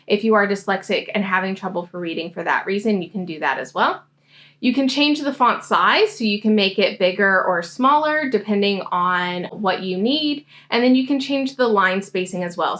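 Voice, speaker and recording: A woman coaching grad students, giving a friendly software tutorial walkthrough. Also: medium pitch, normal speed. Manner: friendly, explanatory screen-demo talk. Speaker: science grad school coach.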